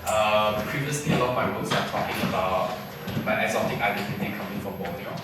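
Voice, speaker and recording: Low voiced guy from malaysia at a gallery talk, halting, thinking out loud, far off mic, roomy with noise. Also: steady tone. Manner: thoughtful, halting. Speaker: textile artist. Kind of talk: artist talk. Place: gallery event space.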